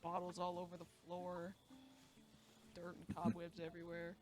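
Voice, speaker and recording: A man's voice: high-pitched, completely flat delivery, moderate pace, heavy background noise. A man roleplaying a saloon keeper in a western game, talking casually and rambling a bit, with self-deprecating remarks. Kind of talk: in-character western roleplay chat.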